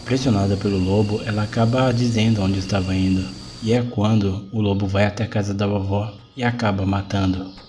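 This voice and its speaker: A man's voice: on the low side, fairly even intonation, fairly quick, few pauses, mellow, slight background noise. A man with a Brazilian accent narrating a scripted horror story.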